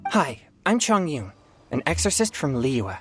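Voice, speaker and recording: An actor playing an earnest, polite young exorcist in a game, introducing himself straightforwardly. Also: male voice, on the high side, big pitch swings, unhurried, faint music behind.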